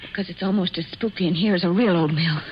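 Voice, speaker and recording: An actress playing a loyal young secretary who pleads earnestly in a 1940s radio drama. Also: female voice, fairly low pitch, quick pace, lightly acted. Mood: anxious. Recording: muffled 1940s radio broadcast with faint hiss.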